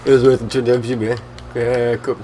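A man's voice: mid-range voice, level tone, normal speed, heavy background noise. A young man hosting a gaming video, playing casually with a friend, sarcastic and laughing while trash-talking the game. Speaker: gaming channel host. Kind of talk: casual let's play banter.

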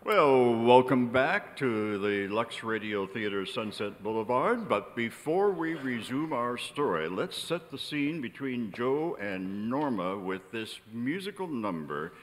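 An older man serves as announcer with dramatic, old-time radio presentation. His pitch moves widely, and his voice rings out in a reverberant theater. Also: sing-song, unhurried, few pauses. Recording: live theater stage, long hall reverb.

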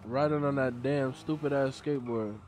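A man's voice: mid-range voice, fairly even intonation, moderate pace, a little mellow, slight background noise. A man streaming a roleplay game, chatting in a playful, slangy way with a lot of laughter, from an indoor desk mic. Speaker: streamer playing a roleplay character. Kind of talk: casual roleplay banter.

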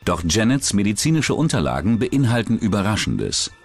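Male narrator, scripted true-crime documentary, lowish voice, lively melody, soft music underneath. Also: moderate pace, husky.

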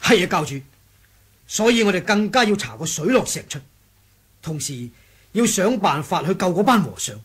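High, husky Cantonese rebel soldier. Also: male voice, sing-song, moderate pace, pauses often, heavily acted. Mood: grave, reporting. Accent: Hong Kong Cantonese. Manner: formal, storytelling, respectful. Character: deferential rebel soldier reporting to his leader. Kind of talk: 1980s radio drama acting.

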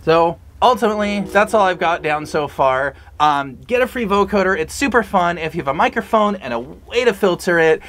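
A music vlogger with a very lively, swinging pitch that sits in a middle range. The delivery is playful and informal, like a casual home tutorial. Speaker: music tutorial video host. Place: small cluttered home music studio room.

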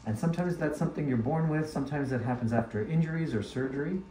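A man working as an ear, nose and throat doctor, explaining a procedure to a patient in a measured, instructive way. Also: a little high, mellow. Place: small clinic exam room, indoors. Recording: roomy clinic room with background noise.

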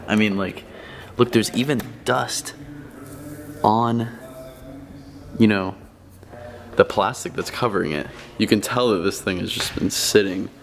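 A young man vlogging casually in a car shop, talking excitedly and rambling with restarts. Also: lowish voice, a little hiss or hum. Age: young adult. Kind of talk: casual vlog chat. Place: car shop garage and parking lot at night.

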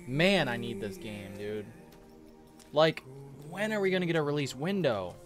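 A young man giving casual, excitable reaction commentary as a gaming streamer, often repeating words. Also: a little high, moderate pace. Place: small cluttered gaming room at home. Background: game trailer music.

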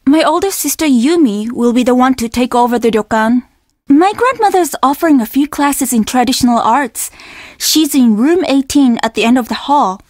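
A woman's voice: high voice, expressive, normal speed, darkish tone, no room sound, studio quality. A woman voicing a courteous, reassuring young inn receptionist in a video game, eager to please. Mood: welcoming, reassuring. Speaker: voice actor playing an inn receptionist. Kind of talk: video game dialogue lines.

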